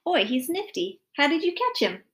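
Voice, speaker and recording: Woman playing a boisterous, high-voiced schoolboy. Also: sing-song, normal speed, fairly bright tone. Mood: curious. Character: brash, sporty schoolboy, eager older brother.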